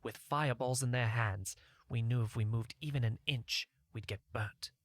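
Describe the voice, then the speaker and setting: A man's voice: medium pitch, normal speed, no echo. A man narrating a first-person fantasy audiobook in a scripted storytelling style.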